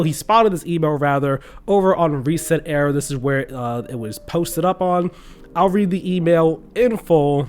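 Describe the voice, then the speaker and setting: Young male commentator, slightly high voice, stuffed-up nose, indignant and earnest, faint music under. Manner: earnest, restarts and fillers, indignant. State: congested from a cold. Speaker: gaming news commentator. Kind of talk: casual video commentary.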